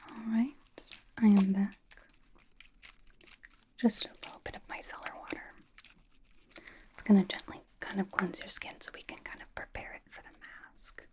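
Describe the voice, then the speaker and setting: A young female pop idol acted out by a woman speaks in a slow, breathy whisper. She is casual and a little blunt, yet doting and reassuring, as in an ASMR personal attention roleplay. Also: mid-range voice, lightly acted.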